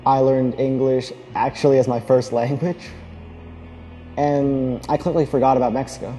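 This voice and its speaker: An earnest young man from Alabama speaks in a mellow, warm voice. He tells his own story as a reflective testimony, pausing to restart phrases, and soft music plays faintly behind him. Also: medium pitch, fairly even intonation. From Alabama, United States. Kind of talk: personal testimony speech.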